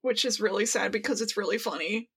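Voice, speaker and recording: A woman co-hosting a casual fan podcast, laughing as she talks and excitedly acting out the lines she quotes. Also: medium pitch, fairly quick, slightly rough. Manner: giggly, laughs while talking. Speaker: podcast co-host.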